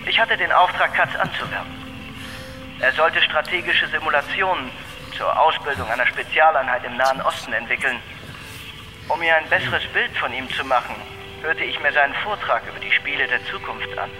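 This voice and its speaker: An actor playing a grave informant giving a measured, deliberate monologue on a dictaphone tape in a German radio crime drama. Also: male voice, fairly high pitch, pushed and bright, faint music behind, poor audio. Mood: grave, accusing. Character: grave male informant leaving a posthumous taped confession. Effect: dictaphone tape recording.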